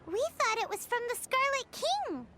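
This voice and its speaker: Squeaky, extremely high little fairy companion. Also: female voice, normal speed, very noisy. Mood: curious. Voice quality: squeaky, childlike. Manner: expressive, inquisitive, blurting. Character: tiny, chirpy, excitable childlike fairy companion. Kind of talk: video game voice acting.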